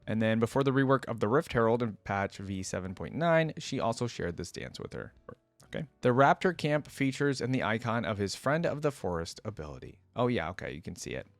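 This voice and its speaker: A man's voice: on the low side, sing-song, a little fast, dry recording, faint music behind. A man hosting a reaction video in a casual, enthusiastic, informal way, commenting and reading aloud.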